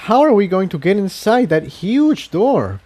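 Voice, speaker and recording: Man acting female pony adventurer, higher voice, inquisitive, curious, storybook. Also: big pitch swings, measured pace, warm tone, full character voice.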